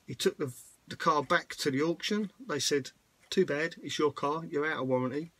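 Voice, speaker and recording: Chatty man with a lightly raised pitch. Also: moderate pace, a bit bright, husky. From United Kingdom. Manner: chatty, rambling, plain-spoken with fillers. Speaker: vlogger. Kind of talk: casual walkaround vlog.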